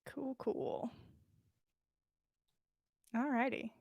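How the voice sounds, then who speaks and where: A woman's voice: high-pitched, fairly even intonation, drawn-out words, a little mellow, dry recording. A woman hosting a podcast livestream, informal and giggly, chatting and reading fan fiction aloud close to the mic. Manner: giggly, informal, swears casually. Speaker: podcast host. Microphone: close mic with pop filter.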